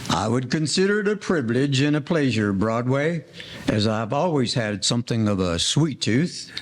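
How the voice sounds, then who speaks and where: Big melancholy bootlegger, male actor, formal period speech, average pitch, 1940s radio play. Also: very animated, normal speed, a little hiss or hum, lightly acted. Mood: melancholy, polite. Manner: formal Runyonesque phrasing.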